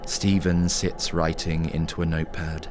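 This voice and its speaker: A man narrating a scripted horror story in a measured, atmospheric way. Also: extremely low pitch, level tone, noisy background.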